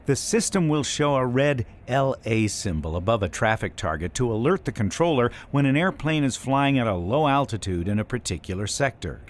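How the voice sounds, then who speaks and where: A man reading scripted instructional narration as a training video instructor, in a measured, explanatory way. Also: fairly low pitch.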